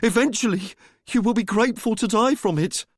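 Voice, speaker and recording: A woman's voice: a little high, breathy edge, no room sound, clean studio sound, voice pushed up. A man voicing a grim mother who gives an ominous warning. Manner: slow, grave warning.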